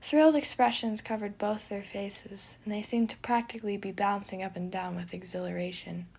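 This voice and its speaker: A woman reading a fictional story aloud as narrator, in an expressive scripted style. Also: medium pitch, normal speed, gravelly, slightly rough.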